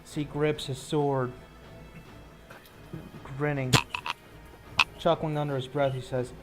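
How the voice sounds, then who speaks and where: A man running a tabletop roleplaying game as game master, improvising measured, descriptive combat narration between rules calls. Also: medium pitch, level tone, over loud music.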